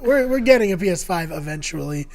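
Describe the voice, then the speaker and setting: A man co-hosting a casual video game podcast, his voice going hoarse, talking in an upbeat, jokey way with rambling anecdotes. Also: high-pitched, animated, quick pace, a loud crowd. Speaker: podcast co-host. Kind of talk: casual chat.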